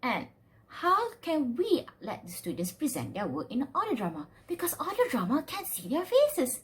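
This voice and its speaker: A woman with a high voice that swings widely as she speaks. She presents a lesson as a student presenter, playful and upbeat, steering listeners along with questions. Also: wildly swooping pitch, moderate pace. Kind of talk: enthusiastic instructional presentation.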